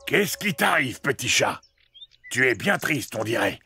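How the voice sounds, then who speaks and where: Creaky, genial old donkey. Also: male voice, medium pitch, very animated, a little slow, pushed and bright, soft background music, full character voice. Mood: friendly. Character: genial old donkey, proud singer leading his friends. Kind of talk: children's cartoon dub acting.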